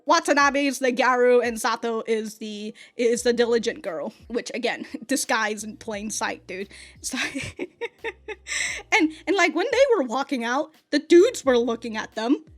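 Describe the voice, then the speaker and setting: A woman's voice: fairly high pitch, animated. A woman in her thirties giving casual reaction commentary, blunt and profane, laughing often and stumbling through restarts and stammers. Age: 30s. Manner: halting, with many restarts and stammers. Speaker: anime reaction reviewer.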